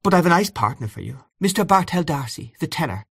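A man voicing a polite, brisk young hostess who coaxes guests in an audiobook story. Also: fairly high pitch, sing-song, vocal fry, slightly rough, no echo, clean studio sound, voice pushed up, exaggerated voice. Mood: coaxing. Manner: polite, coaxing. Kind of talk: audiobook character voice.

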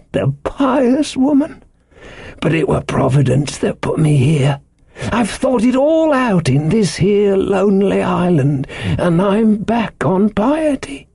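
A half-crazed castaway sailor from a storybook. The voice is pitched very high and swings wildly, drawling slowly through wheedling, rambling seafaring dialect, by turns sly and childishly pious. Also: male voice, darkish tone, slightly rough, no room sound, full character voice. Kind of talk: audiobook character voice.

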